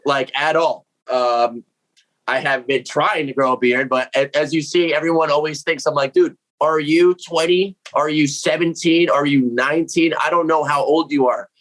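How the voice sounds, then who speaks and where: A man's voice: a little high, steady tone, normal speed, a bit bright, low-quality recording. A man in his late twenties, from Puerto Rico, chatting casually and animatedly at home, full of slang and mild swearing, acting out his own reactions. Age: 20s. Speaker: metal vocalist interviewee. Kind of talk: casual chat to camera. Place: home room with cats.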